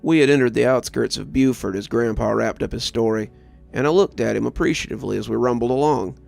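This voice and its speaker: Gravelly male horror storyteller over music. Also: medium pitch, quick pace, darkish tone. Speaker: horror story narrator and channel host. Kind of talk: scripted first-person storytelling narration. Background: background music.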